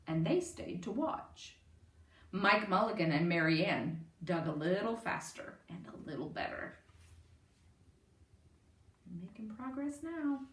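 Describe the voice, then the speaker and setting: A woman reading a children's picture book aloud in an expressive, emphatic way. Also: mid-range voice. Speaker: storyteller. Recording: roomy, distant microphone.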